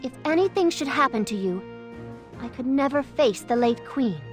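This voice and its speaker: A woman's voice: sits high, expressive, normal speed, faint music behind. A woman playing a stern, dutiful bodyguard and soldier in a video game, speaking formally and exactingly. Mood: stern, disappointed. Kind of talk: video game cutscene voice acting.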